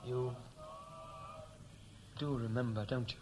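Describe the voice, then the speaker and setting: A man's voice: medium pitch, fairly even intonation, slow, close to an everyday voice. An actor playing a regretful, restless Welsh-born man home from America, hesitant and dry, in a 1960s radio drama. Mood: regretful, uneasy. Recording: noisy old radio play recording.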